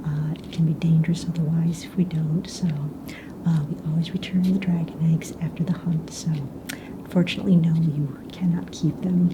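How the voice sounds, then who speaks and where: A woman's voice: little pitch movement, moderate pace, lightly acted. Whispering fantasy hunt hostess played by a woman. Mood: helpful, patient. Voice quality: soft, breathy whisper. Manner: casual, hesitant, explanatory, matter-of-fact about rules and danger. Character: calm, friendly mistress of ceremonies of a fantasy dragon egg hunt. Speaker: ASMR roleplayer. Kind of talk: soft-spoken whispered ASMR roleplay. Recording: noisy close recording with low rumble.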